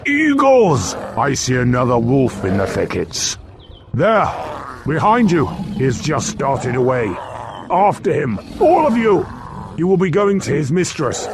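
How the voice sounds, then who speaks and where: A man's voice: huge pitch swings, noisy background. A man voicing a great, noble lion king in an audiobook, grave and commanding, with a purring voice. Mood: urgent, commanding. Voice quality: low, purring voice. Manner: slow, grave, authoritative.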